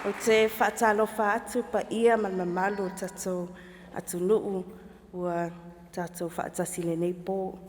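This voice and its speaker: A woman councillor reciting her formal oath of office at a measured, solemn pace in a large council chamber. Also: medium pitch, little pitch movement, over music, crowd noise. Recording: long hall reverb.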